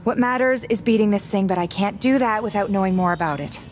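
A woman's voice: mid-range voice, fast talker, a little hiss or hum, lightly acted. An actress playing a brusque, driven doctor in her thirties, blunt and sardonic, in a video game scene. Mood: brusque, impatient. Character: brusque, driven, irritable young woman doctor. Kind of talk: video game cutscene acting.

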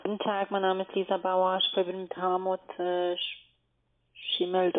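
A woman's voice: on the low side, monotone, measured pace. A woman making a scripted cold call as a telesales agent. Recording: noisy dull phone line.

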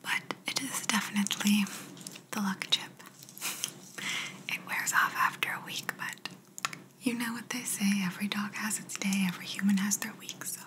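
A young woman playing a smug, chatty alien examiner in a soft-spoken ASMR roleplay, matter-of-fact and condescending, close to the microphone. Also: mid-range voice, steady tone, normal speed, close to an everyday voice, rough recording. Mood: gently reassuring. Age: young adult. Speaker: ASMR creator.

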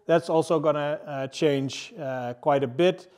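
A man in his thirties giving a casual tech talk to a seated audience in a large room, halting often with fillers and restarts. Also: a little high, fairly even intonation, a little mellow. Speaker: engineering manager presenting.

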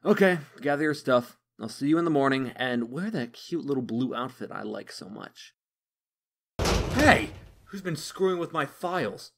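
A man's voice: medium pitch, animated, normal speed, creaky, a bit bright, a little hiss or hum. A man playing a sleazy, cowardly businessman who blusters, in a comic dub. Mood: rattled bluster.

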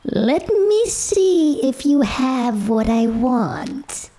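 A man voicing a shabby, spiteful old witch woman who grumbles sharply in a high, put-on voice. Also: very animated, extremely slow, mellow, slight background noise, heavily acted. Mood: prying.

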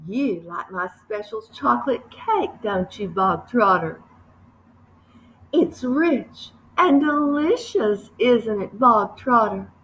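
A woman voicing a fierce, bullying headmistress from a children's story, slow, booming and sneering. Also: sing-song, sharp tone, reverberant, a little hiss or hum, exaggerated voice, rough recording. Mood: mock-sweet. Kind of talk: reading a children's book aloud.

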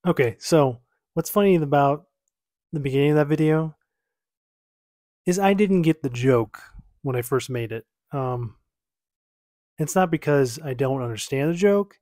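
A man's voice: a little high, measured pace, pauses often, mellow, dry recording, studio quality. A man in his thirties casually reacting to his own old video from a small home office, rambling and correcting himself as he goes. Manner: rambling, self-correcting, easygoing. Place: small home office at night, lamp-lit.

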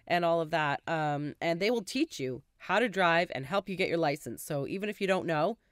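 A woman's voice: lowish voice, lively intonation, moderate pace, dry recording. A woman of forty chatting animatedly on a podcast, voice rising and falling freely, laughing often. She sits at a studio mic with headphones. The recording is dry and close. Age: 40s. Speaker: podcast co-host. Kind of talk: casual chat. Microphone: studio mic on arm, headphones, video call.

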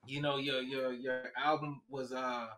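Easygoing rapper guest from Los Angeles. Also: male voice, medium pitch, little pitch movement, unhurried, pushed and bright. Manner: easygoing, some restarts, street slang. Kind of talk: casual interview.

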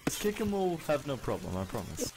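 A man's voice: mid-range voice, big pitch swings, brisk. A young man chatting casually as he plays an online game over voice chat. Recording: very noisy game voice chat, clicks.

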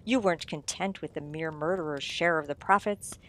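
A woman's voice: low voice, a little fast, close to an everyday voice. A woman playing a sly, gloating sleuth in a radio mystery, with a theatrical, mocking drawl. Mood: accusing, taunting. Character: sly, gloating amateur sleuth taunting a poisoner.

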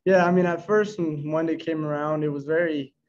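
Higher-pitched, even-toned young American man. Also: brisk. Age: early 20s. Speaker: college baseball pitcher. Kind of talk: post-game press conference answers. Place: indoor press room before a backdrop. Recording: dull, lo-fi video call audio.

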